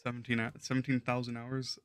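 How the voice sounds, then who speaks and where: A hoarse young man losing his voice, chatting casually with viewers on a gaming livestream and chuckling often. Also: mid-range voice, monotone, fast, a bit bright, faint music behind. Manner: laid-back, chuckles while talking to chat.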